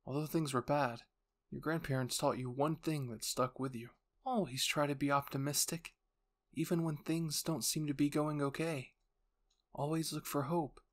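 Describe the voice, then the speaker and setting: A man's voice: a little high, steady tone, moderate pace. A man reading a scripted story as narrator, in a dramatic storytelling style. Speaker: narrator and voice actor.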